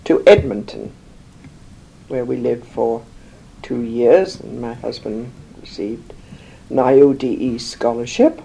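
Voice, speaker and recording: An older woman from England, slowly recalling her life in an interview, rambling with many pauses and fillers. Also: extremely low pitch, gravelly, dark tone, breathy edge, low-quality recording. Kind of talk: oral history recollection.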